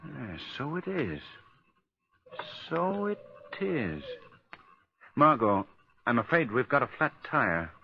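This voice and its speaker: An actor playing an urbane, unruffled, good-humoured gentleman detective in a 1940s radio drama. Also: medium pitch, sing-song, slow pace, creaky, long pauses, exaggerated voice. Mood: deducing. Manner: easygoing, chuckling, polite. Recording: dull lo-fi 1940s radio recording.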